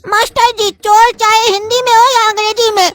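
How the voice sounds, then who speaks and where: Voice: very high voice, level tone, normal speed, a bit bright, no echo. A voice actor playing a cheeky, lovestruck young male student who keeps talking his way out of trouble. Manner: cheeky, talks his way out of things.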